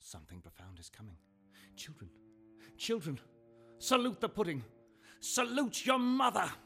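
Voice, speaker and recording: An actor playing a cheerful, poor family father who gently teases his wife in a radio play. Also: sits high, wildly swooping pitch, moderate pace, gravelly, soft background music, full character voice. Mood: fond. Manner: warm, gently teasing.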